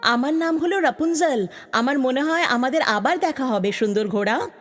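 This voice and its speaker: A woman's voice: sits high, expressive, normal speed. A woman voicing a kind, curious young girl in a cartoon fairy tale. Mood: friendly. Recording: long reverb, music behind the voice.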